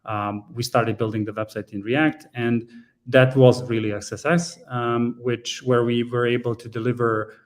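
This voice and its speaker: A man from Serbia walks through his talk at a mid pitch, hesitating often with fillers and small restarts. His delivery is that of a conference presentation. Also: little pitch movement, moderate pace. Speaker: guest speaker, frontend engineer.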